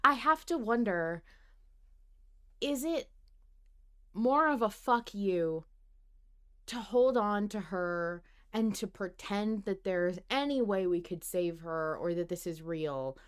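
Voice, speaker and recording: A woman hosting a podcast, debating in casual fan discussion, animated and often interrupting herself as she thinks aloud. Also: mid-range voice, slow, smooth. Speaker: podcast host.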